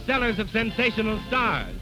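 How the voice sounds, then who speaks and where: A man's voice: high-pitched, sing-song, normal speed, poor audio. A man announcing a scripted comedy show in 1930s radio style on an old broadcast recording. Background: music.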